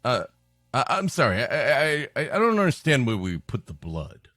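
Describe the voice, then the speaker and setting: A man voicing a confused, hesitant pagan villager in a halting, casual way for a comedy story. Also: medium pitch, very animated, fairly bright tone, dry recording, studio quality, faint music behind. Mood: puzzled. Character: confused pagan villager keen on blood sacrifice.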